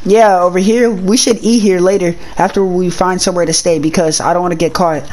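A performer playing an excitable teenage demon boy on the run, talking in casual slang in an improvised video-game roleplay. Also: mid-range voice, fairly quick, a little hiss or hum, natural voice.

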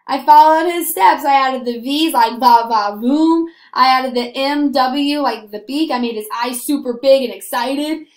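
A young woman with a high voice teaches little children in an animated, very enthusiastic way. She stretches words for emphasis and puts questions to the viewers. The sound is roomy and echoing. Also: unhurried, hardly any pauses. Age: young adult. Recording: roomy, echoing indoor recording.

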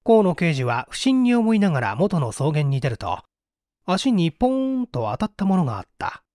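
A man narrating a detective story as an audiobook, with theatrical, deliberate phrasing. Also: fairly high pitch, big pitch swings, darkish tone, no echo, clean studio sound. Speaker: audiobook narrator, stage actor.

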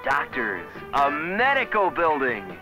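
A man's voice: fairly high pitch, huge pitch swings, slow pace, heavily acted. An American man playing an eager, fawning property salesman in an old educational film, gushing and agreeing with everything. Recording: old film soundtrack with music.

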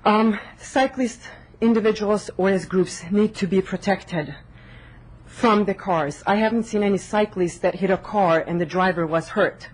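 A woman's voice: mid-range voice, unhurried, husky, rough recording. A young woman from Hungary giving a direct public comment at a city council meeting into a podium microphone in a council chamber.

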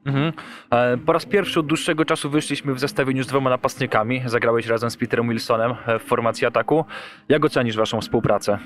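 A male club reporter puts quick questions to a player after the match. His brisk delivery steers the answers. His pitch sits in the middle. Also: fairly even intonation. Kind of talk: post-match interview questions.

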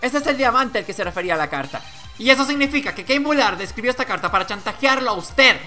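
A woman's voice: a little high, animated, fast talker, sharp tone, soft background music, pitched above the performer's own voice. A woman voicing an earnest, confrontational young male defense attorney from a video game, declaiming and accusing.

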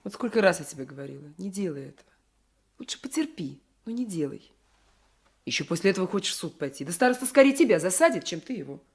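An actress playing a scolding, practical young peasant wife in a 1980s Soviet radio drama, reproachful and sighing, on a noisy old recording. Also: female voice, lowish voice, sing-song, fast talker, darkish tone, breathy edge, heavily acted.

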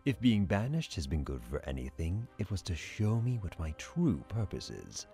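Deep, silky villainous sea sorcerer. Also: male voice, very animated, normal speed, mellow, faint music behind. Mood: theatrical, mock-sympathetic. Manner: silky, theatrical, mock-sweet. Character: sly, dangerously alluring male sea sorcerer with tentacles. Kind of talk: audio drama villain acting.

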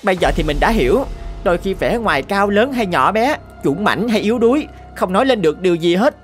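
A woman's voice: mid-range voice, expressive, a little fast, breathy edge. A woman voicing a humbled, thoughtful farm animal in a children's cartoon, speaking earnestly and reflectively. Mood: remorseful. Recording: music behind the voice.

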